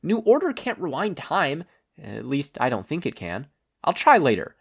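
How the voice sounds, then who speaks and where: A man acts out an earnest teenage boy hero from a superhero tale. The voice is raised and animated, fretful and urgent, as if working under strain. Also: fairly high pitch, moderate pace, creaky, slightly rough, pitched above the performer's own voice, exaggerated voice. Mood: earnest, determined.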